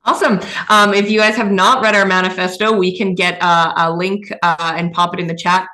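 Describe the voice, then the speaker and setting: A woman's voice: fairly low pitch, fairly even intonation, brisk, bright, forceful tone. A woman hosting a live online youth event in an upbeat, chatty way. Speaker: host.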